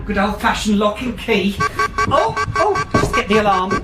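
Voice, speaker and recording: An actor playing a smooth-talking, pushy male estate agent with breezy sales patter in a radio drama. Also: very high-pitched, wildly swooping pitch, unhurried, loud music behind the voice, exaggerated voice. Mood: salesy.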